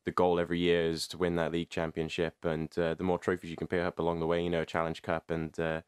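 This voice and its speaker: Smooth, very deep young hockey player. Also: male voice, quick pace, dry recording, clean studio sound. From England. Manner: steady, matter-of-fact. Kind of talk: interview answers.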